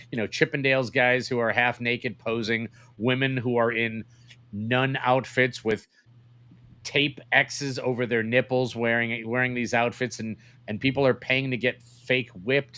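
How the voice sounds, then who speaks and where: A man's voice: medium pitch, a bit bright. A man co-hosting a podcast with casual, joking banter. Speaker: podcast co-host.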